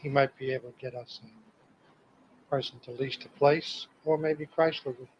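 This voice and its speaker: Middle-aged male, mid-range voice, deliberate note-taking delivery, muffled old home tape with light noise. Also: mid-range voice, fairly even intonation. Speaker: car business owner recording spoken notes. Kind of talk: spoken memo to himself. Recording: dull, noisy old home cassette tape.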